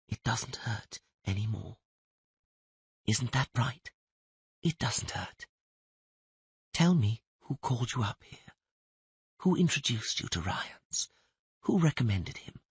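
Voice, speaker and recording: A male narrator voicing a mysterious sorceress who speaks in a quiet, gentle, soothing voice, coaxing a dying man. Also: mid-range voice, husky, no echo, voice pushed up, exaggerated voice.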